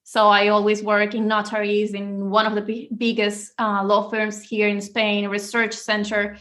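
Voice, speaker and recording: A woman's voice: mid-range voice, completely flat delivery, moderate pace, fairly bright tone. A woman from Venezuela, answering interview questions as a guest author in an earnest, upbeat way, often with a short laugh.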